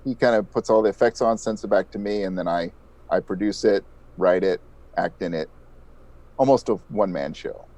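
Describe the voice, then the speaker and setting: A man's voice: on the low side, moderate pace, mellow. A man speaking as an interview guest, explaining things modestly in a conversational way. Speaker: interview guest, audio drama podcast creator.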